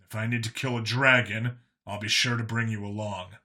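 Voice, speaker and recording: A man's voice: on the low side, fairly even intonation, moderate pace, bright, forceful tone. Man playing a dry, sardonic cop. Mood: wry. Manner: dry, curt. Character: gruff, sardonic human male prison cop.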